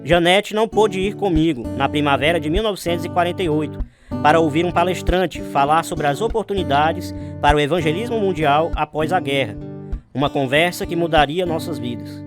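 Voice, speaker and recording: Brazilian guy acting an earnest missionary in a radio drama, higher voice, music playing behind him. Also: brisk, close to an everyday voice. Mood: reflective narration. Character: earnest male missionary husband recounting his life story. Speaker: narrator and lead character. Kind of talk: dramatized radio testimony, scripted narration and dialogue.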